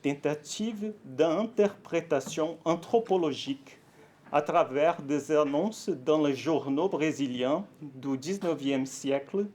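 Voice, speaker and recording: Brazilian man giving a halting talk in French. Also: a little high. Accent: Brazilian Portuguese. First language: Portuguese. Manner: halting, careful French with frequent fillers and self-corrections; nervous, warm, laughs lightly. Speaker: academic speaker at a conference. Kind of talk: conference talk.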